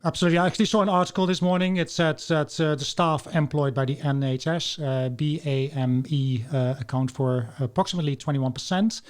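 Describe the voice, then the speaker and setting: A man's voice: fairly high pitch, steady tone, a little fast, hardly any pauses. A man from the Netherlands, hosting a podcast interview in a chatty way, citing articles with frequent "uh"s. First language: Dutch. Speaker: podcast host. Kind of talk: interview conversation.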